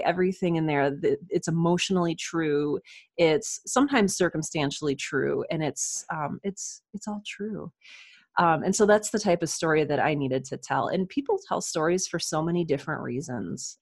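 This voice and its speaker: A woman's voice: sits low, no room sound, studio quality. A woman speaking as a writer guest in a conversational interview, candid and self-deprecating.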